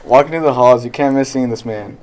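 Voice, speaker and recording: A teenage student reporter reading a scripted news segment. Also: male voice, mid-range voice, warm tone. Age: teens. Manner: reads quickly from a script.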